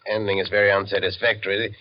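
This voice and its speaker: A low-voiced, critical clubman played by a man weighs his words with dry, deliberate hesitation. It is 1940s radio drama acting, heard on a dull vintage recording. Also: flat delivery, lightly acted. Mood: dry, dissatisfied.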